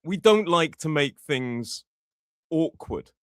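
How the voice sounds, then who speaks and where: A man's voice: a little high, lively intonation, slow pace, clean tone, no room sound. British english teacher guy chatting on a podcast, explains stuff, voice jumps around a lot, dry close sound. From Britain. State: toothache. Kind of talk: conversational podcast chat.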